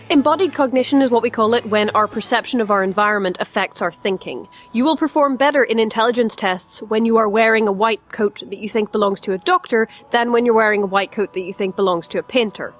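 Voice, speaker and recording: A woman presents a review in a casual, chatty style that is clearly scripted. Her voice sits at a middling pitch and her manner is wry and dryly jokey, with light music underneath. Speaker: host of a review show.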